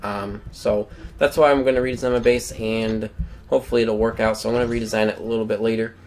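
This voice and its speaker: A man's voice: lowish voice, level tone, fast talker, a little mellow. A young man casually commenting on his mobile game, rambling and filling gaps with frequent "um"s. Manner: rambling, many "um" fillers. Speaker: gameplay commentator.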